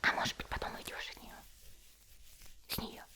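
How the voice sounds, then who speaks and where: A woman playing a sweet, chatty young anime character in an ASMR roleplay, whispering softly and gently as she tends a friend's hair. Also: natural voice. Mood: tender, doting. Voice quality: soft, breathy whisper. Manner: gentle, unhurried, cooing.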